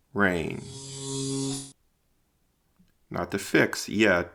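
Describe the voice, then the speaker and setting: A man narrating scripted dramatic fiction in a solemn, deliberate storytelling manner. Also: low voice, vocal fry, a bit bright.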